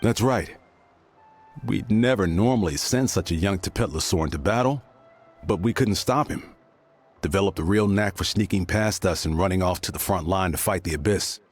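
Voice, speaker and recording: An earnest game warrior, rough husky voice, worried and respectful, quiet music behind. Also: male voice, deep voice, moderate pace. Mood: concerned. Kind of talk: English game voice acting.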